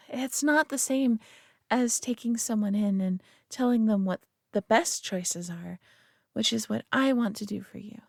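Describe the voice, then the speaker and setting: A woman's voice: a little high, unhurried, creaky, a little mellow, no echo, studio quality. A woman playing a proud, possessive but caring superior alien being in a roleplay audio drama, speaking in a haughty, condescending yet tender manner. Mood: earnest, solemn.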